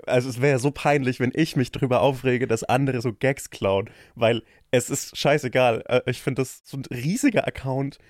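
A man's voice: medium pitch, steady tone, moderate pace, few pauses, dry recording. A young man from Franconia, a guest on a podcast, chatting casually with dry, self-deprecating humor and many restarts. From Franconia, Germany. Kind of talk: casual chat.

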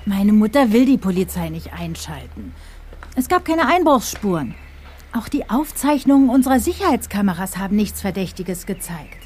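An actress playing a brisk, self-assured young female client reporting a puzzling break-in in a German audio drama. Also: medium pitch, big pitch swings, darkish tone, husky. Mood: matter-of-fact, insistent.

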